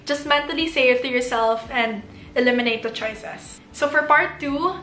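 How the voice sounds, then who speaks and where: A woman's voice: sits high, moderate pace, bright, forceful tone, a little hiss or hum. A young Filipino woman in her twenties giving chatty, upbeat study tips in a vlog from her bedroom, her voice getting worn. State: losing her voice. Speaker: vlogger giving test tips. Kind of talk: casual advice vlog. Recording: echoey room.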